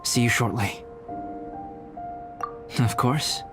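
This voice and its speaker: A man playing a composed, courteous young scholar in a video game, calm, analytical and formal. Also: medium pitch. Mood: calm, focused. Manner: formal, deliberate, precise wording. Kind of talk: measured video game dialogue acting. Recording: music behind the voice. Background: game music.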